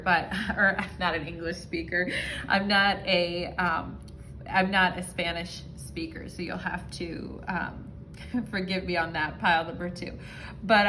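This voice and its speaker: A woman with a fairly low voice gives a relaxed tarot reading straight to camera. She rambles from thought to thought and keeps checking in with her listeners. A faint hiss of background noise sits under her voice. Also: low-quality recording. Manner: chatty, rambling, frequent "you know" and "okay". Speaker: tarot reader. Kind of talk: casual spoken tarot reading to viewers.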